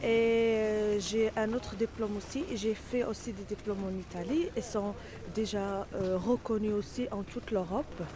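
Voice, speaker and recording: A middle-aged woman, a native Arabic speaker, answering interview questions haltingly in non-native French, searching for words. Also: mid-range voice, steady tone, crowd noise. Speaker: interviewee, home care worker.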